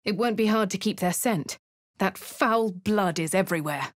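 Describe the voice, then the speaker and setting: An actress voicing a posh female adventurer with an English accent, speaking in a breathy, often half-whispered way. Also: mid-range voice, normal speed, no room sound, lightly acted. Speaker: voice actor for a player character. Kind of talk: video game voice lines. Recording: dry studio booth.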